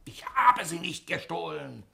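An actor playing a cheeky, impulsive husband on the run in a sixties radio drama, speaking emphatically. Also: male voice, on the high side, very animated, a little slow, gravelly, sharp tone, full character voice. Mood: indignant, baffled. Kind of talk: 1960s German radio drama acting.

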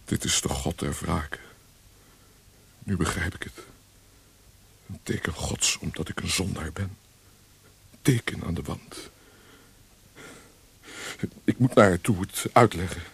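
A man's voice: low-pitched, measured pace, vocal fry, pauses often, breathy edge, exaggerated voice. A man acting an impassioned, guilt-ridden young poet in a radio drama, speaking theatrically. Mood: guilt-stricken. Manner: theatrical, talking to himself.

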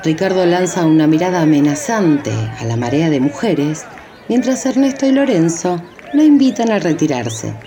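A woman's voice: on the low side, animated, moderate pace, music in the background, close to an everyday voice. A woman narrating a radio drama from a script.

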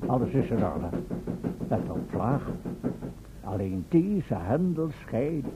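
An actor playing a pompous, domineering, eccentric professor in a radio play, fussy and commanding. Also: male voice, mid-range voice, very animated, takes it slowly, loud music behind the voice. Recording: dull old radio recording, faint noise.

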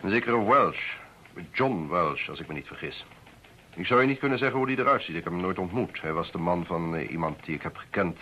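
Radio drama role: formal, guarded politician, very low and forceful voice, curt and evasive. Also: male voice, expressive, fairly quick. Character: curt, secretive male politician under threat. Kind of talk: Dutch radio play acting. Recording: muffled, faintly noisy old radio recording.

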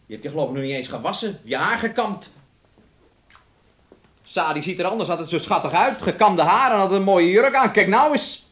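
A man's voice: high voice, lively intonation, brisk, a bit bright. An actor playing a domineering, self-absorbed man in a radio play, nagging and coaxing his sick lover and calling himself by name. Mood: disgusted, scolding. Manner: talks of himself in the third person, nagging and coaxing. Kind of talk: Dutch radio play acting.

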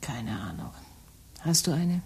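An actress playing a wry, hungover wife in a radio play, speaking in a dry, sardonic manner. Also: female voice, very deep voice, big pitch swings, measured pace, vocal fry, darkish tone, slightly rough, exaggerated voice. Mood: wry, teasing. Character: wry, hungover wife teasing her anxious husband. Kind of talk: naturalistic German radio play dialogue.

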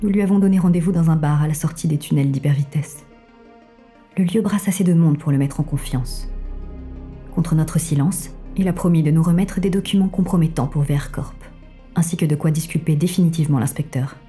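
A woman's voice: sits low, fairly quick, dark tone, faint music behind, natural voice. An actress playing an inspector's watchful, devoted female assistant, narrating a noir audio drama with solemn, introspective restraint. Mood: composed.

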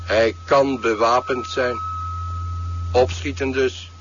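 An actor playing a wary, cold henchman who speaks tersely and deliberately in a 1970s science-fiction radio drama. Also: medium pitch, steady tone, natural voice. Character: wary, cold male henchman watching a screen. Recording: dull, noisy 1970s radio recording.

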